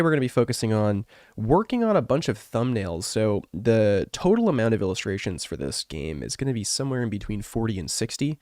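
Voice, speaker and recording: Man with a cold: congested, rough voice, lowish pitch, dry sound, rambling casual stream host. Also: darkish tone, dry recording. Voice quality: rough, wrecked voice. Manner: rambling and chatty, with many fillers. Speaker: artist and streamer. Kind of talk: casual live-stream chat.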